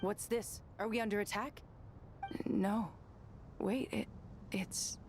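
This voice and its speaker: A woman playing an earnest, vulnerable young desert warrior in a video game, speaking haltingly. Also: mid-range voice, steady tone, a little mellow, a little hiss or hum. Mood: startled. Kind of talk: dramatic video game acting. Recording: faint game sound behind voice.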